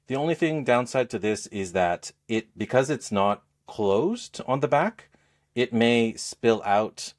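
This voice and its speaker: A man co-hosting a podcast, chatting casually about gadgets in a halting way as he searches for words. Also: medium pitch, lively intonation. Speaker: podcast co-host.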